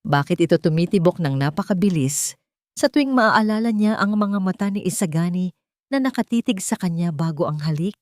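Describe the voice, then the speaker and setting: A woman narrating a scripted romance story in a deliberate, emotive, dramatic way. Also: fairly low pitch, lively intonation, darkish tone, no echo, clean studio sound.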